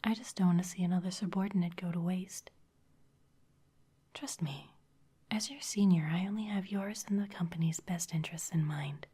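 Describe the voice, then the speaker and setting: A woman voicing a sly, intimidating female vampire executive in a close, breathy ASMR whisper, polished and teasing. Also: fairly low pitch, moderate pace, mellow. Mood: smooth, managerial. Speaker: ASMR performer.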